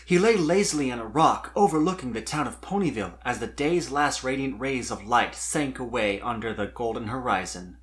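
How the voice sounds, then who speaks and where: A man reading a scripted story as narrator, in an expressive, wistful storybook manner. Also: medium pitch, normal speed, few pauses. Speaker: fan fiction narrator.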